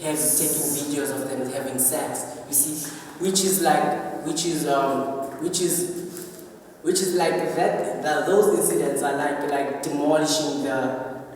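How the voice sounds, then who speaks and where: An eighteen-year-old South African woman giving her view in an informal discussion, halting and repeating herself as she goes. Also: low voice, steady tone, darkish tone. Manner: halting, repetitive. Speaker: student panelist. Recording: very echoey room, distant mic, faint noise.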